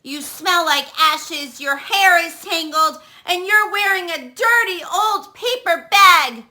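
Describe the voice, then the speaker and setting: A woman's voice: extremely high pitch, takes it slowly, pushed and bright, voice pushed up, heavily acted. A woman voicing a snooty, fault-finding young prince with a scolding, haughty manner in a children's storybook read-aloud. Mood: disdainful.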